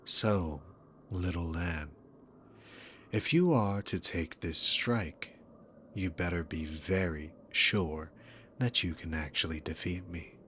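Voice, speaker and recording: A man's voice: deep voice, slow, a bit bright, a little hiss or hum. A man voicing a dominant, sarcastic demon king in an ASMR roleplay, taunting the listener with theatrical, arrogant condescension. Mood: menacing.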